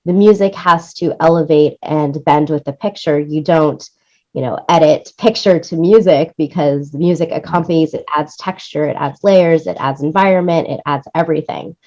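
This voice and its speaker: A woman's voice: low-pitched, moderate pace, dark tone. A woman giving conversational interview answers as a guest, explaining things and often checking in with "right?" or "you know". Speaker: interview guest, music supervisor and producer. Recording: dull, lo-fi audio.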